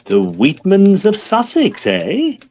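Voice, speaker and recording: An actor playing a weary, dry Edwardian gentleman with a clipped English accent, in an audio drama. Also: sits high, huge pitch swings, slow pace, heavily acted. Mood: dry, exasperated. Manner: dry, clipped, long-suffering. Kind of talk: audio drama acting and first-person narration.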